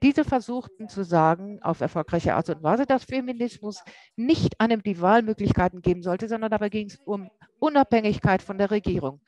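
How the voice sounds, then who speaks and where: Woman, simultaneous interpreter, halting, self-correcting, mid pitch, dry close sound. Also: a little mellow, no room sound, low-quality recording. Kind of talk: live interpretation of a lecture.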